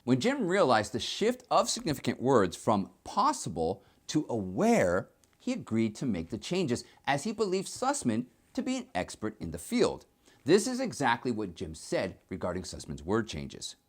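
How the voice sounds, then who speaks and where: A man reads scripted news commentary as a host. His pitch is average for a man but swings very widely from phrase to phrase. He explains things in an emphatic presenter's manner. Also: wildly swooping pitch, normal speed.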